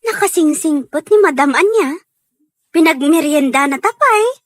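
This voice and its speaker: A woman's voice: high voice, lively intonation, unhurried, no echo. A woman acting as a friendly, plain-spoken young working woman in a scripted Ilocano radio drama. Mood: polite.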